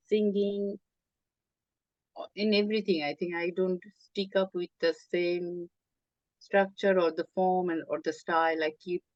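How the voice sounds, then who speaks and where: A woman from Bangladesh, a native Bengali speaker, talking as a guest in an interview, hesitant with many pauses and fillers. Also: lowish voice, dry recording, muffled recording. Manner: hesitant, many ums, halting phrasing. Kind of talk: interview conversation.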